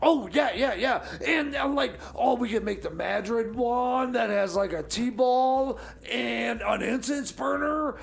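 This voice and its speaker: A man's voice: extremely high pitch, slow pace, gravelly, few pauses. A man co-hosting a comedy radio show, playing a flustered, eager bunker sidekick who stammers and hesitates. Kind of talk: improvised comic banter.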